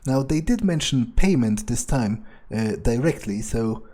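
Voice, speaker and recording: A man roleplaying a cold, entitled, predatory vampire, musing aloud and swearing in husky in-character game commentary. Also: medium pitch, expressive, mellow. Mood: brooding. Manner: muttering to himself, swearing, deliberate musing. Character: cold, cruel, foul-mouthed male vampire, entitled and predatory. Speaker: gamer playing in character.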